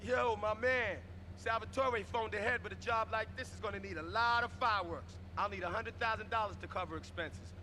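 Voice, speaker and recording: A man's voice: sits high, brisk, pushed and bright, a little hiss or hum. A man playing a cocky, friendly explosives expert in a video game cutscene. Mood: confident.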